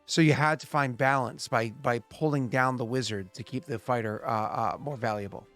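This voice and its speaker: A man's voice: mid-range voice, fairly even intonation, normal speed, fairly bright tone, faint music behind. A man in his late thirties, the game master, chatting and explaining rules at length with many restarts. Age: late 30s. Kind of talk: casual chat and game narration.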